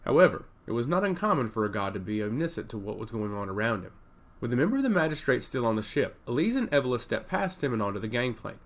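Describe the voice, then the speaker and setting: Animated male fantasy narrator. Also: medium pitch, quick pace. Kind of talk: scripted fantasy audiobook narration.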